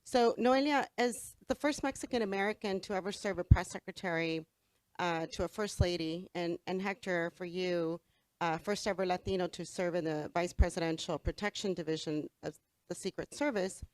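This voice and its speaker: A woman's voice: on the low side, no room sound. A woman moderating a panel discussion, asking long, careful questions. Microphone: handheld microphone.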